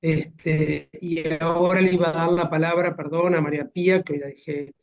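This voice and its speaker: A man's voice: fairly high pitch, flat delivery, normal speed, dull audio. A man with a Uruguayan accent moderating an online medical seminar, courteously thanking speakers and passing the floor. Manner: courteous, brief hand-offs. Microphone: video call.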